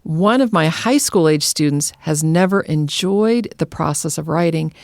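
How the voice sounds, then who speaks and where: A woman's voice: deep voice, animated, unhurried, creaky, dry recording, studio quality. A woman co-hosting a podcast in a conversational, affirming way as she presents listener questions. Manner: affirming, interjects short agreements.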